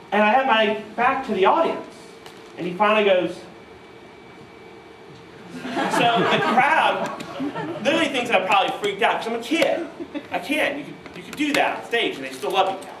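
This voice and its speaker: A man in his thirties from New York state, telling animated, self-mocking stories to a live audience at a guitar clinic. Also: sits high, a little slow, pauses often, noisy background. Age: 30s. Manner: animated, self-mocking, mimics voices in quotes. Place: music store clinic room, audience present. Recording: roomy live sound from far off.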